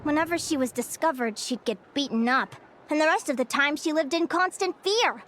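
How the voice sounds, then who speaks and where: A woman's voice: high-pitched, normal speed, a bit bright, a little hiss or hum. A woman playing an earnest, sweet young animal researcher with a girlish, explanatory manner in a video game. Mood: tender. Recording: music under the voice.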